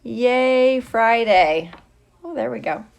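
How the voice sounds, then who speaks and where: A woman's voice: fairly high pitch, lively intonation, slow pace, gravelly. A middle-aged woman, a teacher, chatting casually and playfully with young viewers on a livestream, drawing out her greetings. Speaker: teacher hosting a livestream for students.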